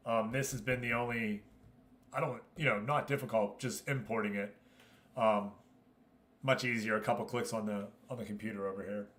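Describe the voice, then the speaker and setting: A man's voice: on the low side, steady tone, moderate pace, fairly bright tone. A man hosting a gaming livestream, talking casually and chummily to his viewers as he comments. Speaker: livestream host.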